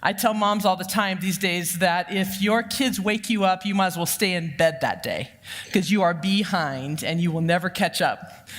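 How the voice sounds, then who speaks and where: A woman in her fifties with a loud voice, giving a lively, humorous sermon full of personal stories. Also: fairly low pitch. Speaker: church counseling director giving the sermon. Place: church sanctuary. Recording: long reverb of a church hall.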